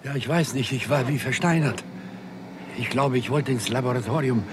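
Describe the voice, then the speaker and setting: An actor playing an obsessed, brooding scientist in a 1960s German radio crime drama, speaking in a husky, fervent, halting, theatrical way. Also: male voice, medium pitch, noisy background, lightly acted. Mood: shaken. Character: obsessed male scientist fascinated by raising the dead.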